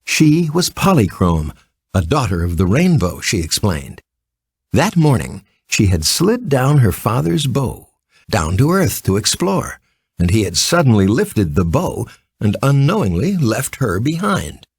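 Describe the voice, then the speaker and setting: A man reading a children's story as an expressive scripted narration. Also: mid-range voice, unhurried, husky, no room sound.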